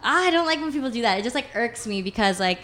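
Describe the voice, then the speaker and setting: Chatty woman drawing out her words. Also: a little high. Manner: chatty, expressive, slightly drawn-out words. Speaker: podcast co-host. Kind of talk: casual chat.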